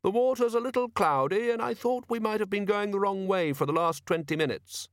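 A man voicing an eager, courteous, chatty gentleman inventor, cheerfully oblivious, in an audiobook story. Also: high-pitched, sing-song, normal speed, no echo, clean studio sound, voice pushed up. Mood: courteous, unruffled.

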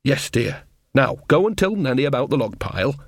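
A man's voice: mid-range voice, very animated, a little fast, no room sound, clean studio sound. A man voicing an earthy, unsentimental old witch woman in an audiobook, blunt and motherly with folksy turns of speech. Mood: soothing, bossy. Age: older. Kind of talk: audiobook character dialogue.

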